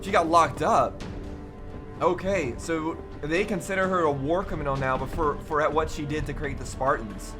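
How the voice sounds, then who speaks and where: A man with a fairly high voice comments casually as he watches game cutscenes. His reaction commentary runs over background music. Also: moderate pace. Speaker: reaction video host.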